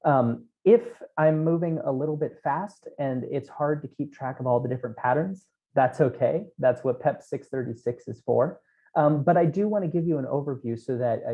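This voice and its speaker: A man in his thirties giving a technical keynote from a small room, explaining code step by step with frequent ums. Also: mid-range voice. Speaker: conference keynote speaker. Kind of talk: technical conference talk. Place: small room at home, indoors. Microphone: headset. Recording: dull lo-fi remote talk audio.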